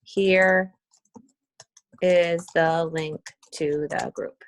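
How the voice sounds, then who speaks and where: A woman's voice: lowish voice, steady tone, very slow. A woman leading an informal screen-share walkthrough on a team call, talking casually and thinking aloud as she demonstrates. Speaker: team leader giving a tutorial.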